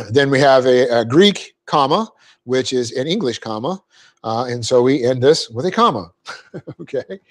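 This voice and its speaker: A man teaching a Bible class, explaining Greek grammar step by step, spelling out words and clearing his throat often. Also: medium pitch, lively intonation, a little slow. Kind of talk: verse-by-verse Bible class lecture.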